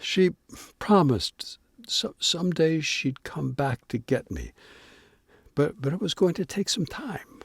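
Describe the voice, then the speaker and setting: A devoted younger brother in an audio play. His voice is high and swings widely in pitch. He stammers and repeats words, earnest and exasperated as he talks. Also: animated, takes it slowly, vocal fry, husky, dry recording, clean studio sound, exaggerated voice. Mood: confiding, wistful. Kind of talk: audio drama acting.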